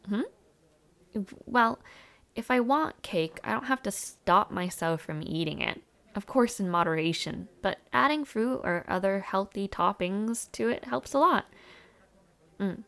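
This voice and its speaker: A friendly young female student, acted by a woman in an audio roleplay, chatting one-on-one with her dormmate. Her voice sits at a medium pitch with plenty of vocal fry. She talks casually and a little hesitantly, adding afterthoughts as she goes. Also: animated, a little slow, pauses often, a bit bright. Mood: casual, chatty. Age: young adult.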